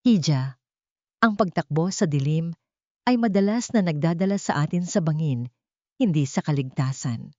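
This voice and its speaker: A woman's voice: sits low, animated, a little mellow, no room sound. Woman voicing a grave old male gardener. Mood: gentle warning. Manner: grave, deliberate. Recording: dull, dry lo-fi recording.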